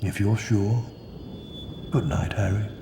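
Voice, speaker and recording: An actor playing an elderly, gentle and wise headmaster wizard in a video game, calm and measured. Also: male voice, lowish voice, reverberant, heavy background noise.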